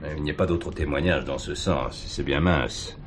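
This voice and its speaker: Casting: businesslike police chief, low-pitched, probing and formal, classic radio-play style, slight hiss on the recording. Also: male voice, moderate pace. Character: sceptical, businesslike male police commissioner. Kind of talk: 1970s French radio drama acting.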